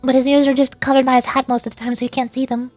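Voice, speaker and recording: High-voiced woman streamer musing over music. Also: level tone, very fast, darkish tone. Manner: musing, often questioning aloud. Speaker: VTuber game streamer. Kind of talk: casual livestream chat and reading game dialogue aloud.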